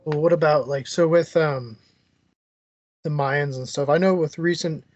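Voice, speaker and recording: A man's voice: a little high, fairly even intonation, measured pace, no echo, lo-fi sound. A man interviewing in a casual, loosely worded and tentative way.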